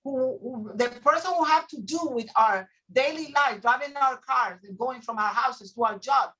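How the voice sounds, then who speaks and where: Bright-toned earnest woman guest. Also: high voice, moderate pace, low-quality recording. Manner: earnest, repeats words while finding them. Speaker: guest, immigration attorney. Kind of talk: casual panel talk.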